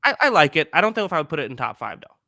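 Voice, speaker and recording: A man in his twenties hosting a podcast in casual chat, rambling with many fillers and restarts, with a higher-pitched voice. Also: very animated, racing, creaky, sharp tone, dry recording. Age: 20s. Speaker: podcast host.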